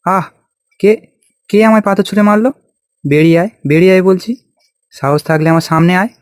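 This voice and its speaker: A man's voice: high-pitched, brisk, no room sound, clean studio sound, lightly acted. A man voicing a hardworking village farmer in a cartoon, with a folksy, exclamatory way of talking. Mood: angry. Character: hardworking village farmer husband, hopeful and plain-spoken. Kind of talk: cartoon voice acting.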